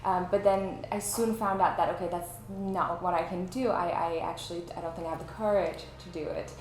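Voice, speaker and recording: A woman's voice: on the low side, fairly even intonation, brisk. A woman from Iran, a journalist, talking as a guest in her home, thoughtful, with many "you know" and "um" fillers. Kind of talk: casual interview conversation. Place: home flat, indoors. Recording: echoey room with faint noise.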